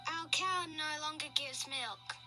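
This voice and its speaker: An eager, curious little boy from a storybook, played by a woman. The voice is extremely high, with polite, earnest delivery over clear background music. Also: slow, sharp tone, exaggerated voice. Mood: dutiful. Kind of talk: storybook character acting.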